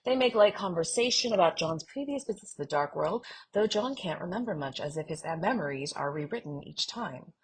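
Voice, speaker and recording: A woman co-hosting a fan podcast, talking casually in an expressive, theatrical manner. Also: mid-range voice, husky, rough recording. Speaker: podcast co-host.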